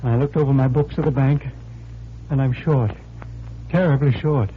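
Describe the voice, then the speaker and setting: A man's voice: mid-range voice, fairly even intonation, moderate pace, slight background noise, lightly acted. An actor playing a tormented bank teller afraid for his sanity, distraught and faltering, in 1940s radio style. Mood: troubled, confiding. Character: tormented, desperate male bank teller fearing madness. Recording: thin, lo-fi 1940s radio recording.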